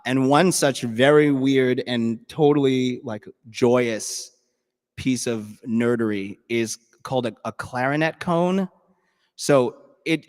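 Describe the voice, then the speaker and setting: A man from New York City speaks at an even, middle pitch, leading his band's talk before a live crowd. He rambles in a friendly, playfully nerdy way, with filler words and restarts. His voice carries a long hall reverb. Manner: chatty and playfully nerdy, lots of ums and restarts. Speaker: bandleader. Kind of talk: casual talk to a live audience.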